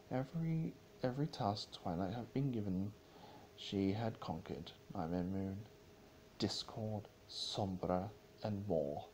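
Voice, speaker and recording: A man's voice: lowish voice, darkish tone, slight background noise, poor audio. A man giving an amateur dramatic reading of a story, stumbling over and repeating words as he goes. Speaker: amateur reader of fan fiction, channel host.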